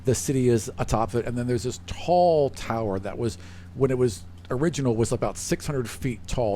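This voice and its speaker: A man acts as game master for a tabletop fantasy game. His voice sits at a medium pitch and he describes scenes conversationally, improvising as he goes. There is some background noise on the recording. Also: mellow. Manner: conversational, thinks aloud while describing scenes.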